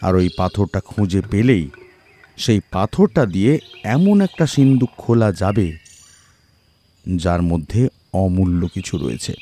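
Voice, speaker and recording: A man playing a composed, analytical detective in an audio mystery, explaining deliberately. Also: low-pitched, big pitch swings, mellow, faint music behind, exaggerated voice. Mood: calm, deductive. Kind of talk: audio detective story acting.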